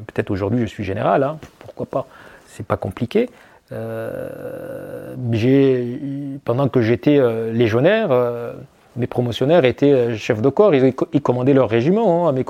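A middle-aged man and former soldier answering interview questions about his book in an earnest, measured way, with frequent hesitations. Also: mid-range voice, vocal fry, dark tone.

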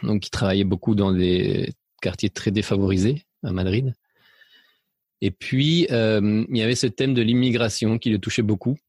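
Homme hésitant à la voix grave. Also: male voice, lowish voice, moderate pace, darkish tone, husky, no echo, clean studio sound. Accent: mixed French, slight Belgian. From Spain. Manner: hesitant, many fillers and restarts. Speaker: interview guest. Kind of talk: reflective personal interview.

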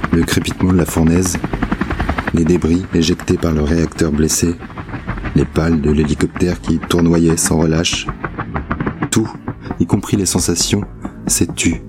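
Journaliste grave, voix très basse. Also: male voice. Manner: solemn, measured, literary. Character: Andreï, grave, disillusioned male journalist confessing truth. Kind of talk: audio drama monologue.

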